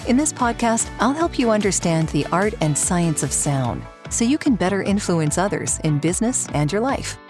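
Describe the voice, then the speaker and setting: A woman's voice: mid-range voice, big pitch swings, moderate pace, few pauses, a little mellow, faint music behind. A woman speaking as a podcast host and interviewer. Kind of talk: scripted podcast introduction, then interview.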